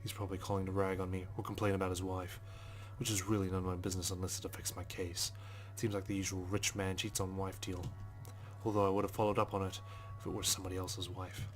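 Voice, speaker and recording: A man reads a visual novel's narration aloud in a dry, understated style. His voice is lowish and flat, moving quickly over faint background music. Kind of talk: reading narration aloud in a let's play.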